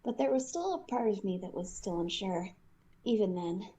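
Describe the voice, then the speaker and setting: A woman's voice: medium pitch, lively intonation, moderate pace, warm tone, natural voice. A woman playing a tender, hesitant, sincere young woman in love, in a fan dub style. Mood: earnest, tender. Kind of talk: fan comic dub acting.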